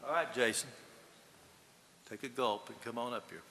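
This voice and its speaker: A man's voice: medium pitch, moderate pace, gravelly, sharp tone, slight background noise. A man leading a service, casually inviting people in a gathering to come up and speak. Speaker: officiant leading a memorial service. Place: large hall. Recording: distant voice in echoey hall.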